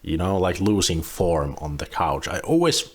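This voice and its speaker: Young man, very low voice, relaxed rambling commentary, restarts often. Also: normal speed. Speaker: reaction video host. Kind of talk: casual commentary on a clip.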